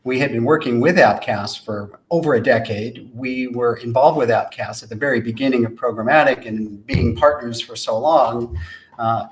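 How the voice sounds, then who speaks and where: A soft-spoken man who calls himself a low talker, giving measured, articulate answers as a podcast guest. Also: low-quality recording. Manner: measured and articulate, lists points. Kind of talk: interview answers.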